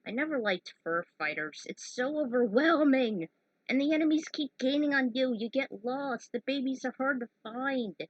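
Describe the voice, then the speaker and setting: A woman's voice: high voice, lively intonation, moderate pace, no echo, dull audio. A woman in her thirties giving casual, opinionated commentary on her own fan fiction as author and narrator. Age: 30s.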